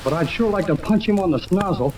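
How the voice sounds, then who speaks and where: A man's voice: high-pitched, expressive, fast talker. A man playing a plucky adolescent boy with a brash, slangy manner in 1930s radio serial style. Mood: grudging. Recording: noisy, distant old radio recording.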